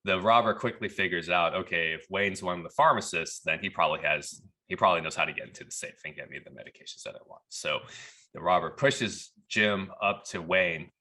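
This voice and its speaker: A man from Texas telling a workplace story as a podcast guest, in a relaxed, chatty way. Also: deep voice, brisk, low-quality recording. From Texas, USA. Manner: relaxed, chatty, laughs at the absurd parts. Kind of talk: casual storytelling.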